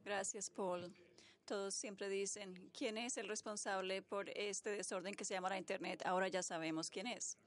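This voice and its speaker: A woman's voice: mid-range voice, fairly even intonation, moderate pace. A woman working as a simultaneous interpreter, delivering a formal speech live, phrase by phrase.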